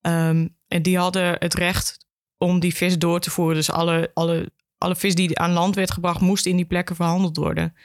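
Woman chatting through explanations, bit hesitant, pretty flat melody, dry sound. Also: fairly low pitch, normal speed, no echo. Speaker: archive education officer, podcast guest. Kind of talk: conversational explanation in an interview.

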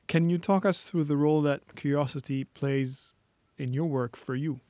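A man hosting a podcast interview, asking measured questions with a few restarts. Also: fairly high pitch, fairly even intonation, darkish tone, dry recording. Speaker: podcast host.

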